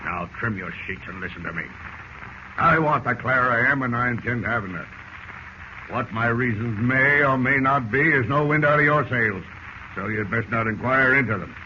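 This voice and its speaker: A man's voice: mid-range voice, lively intonation, normal speed, close to an everyday voice. An actor playing a brash, threatening peg-legged seaman with salty seafaring talk in a radio drama. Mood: menacing. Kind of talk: 1940s radio drama acting. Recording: muffled, noisy old radio recording.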